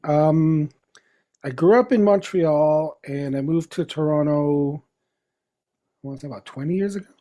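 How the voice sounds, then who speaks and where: A middle-aged man from the Philippines, a guest chatting casually in an interview, relaxed and chuckling as he talks. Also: on the high side, normal speed, pauses often. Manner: relaxed, chuckling, trails off and restarts.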